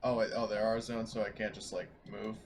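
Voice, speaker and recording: A man with a fairly low voice chats as a player in a tabletop game. He is informal and hesitant. The recording has noticeable background noise. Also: flat delivery, fairly quick. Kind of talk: casual chat at a game.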